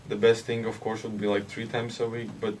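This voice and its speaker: A Latvian man, a hockey player, answering interview questions in English with a Latvian accent, haltingly and with many hesitations. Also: fairly low pitch, completely flat delivery, a little mellow. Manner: halting, hesitant, with frequent "uh" and restarts. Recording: distant mic with faint background noise.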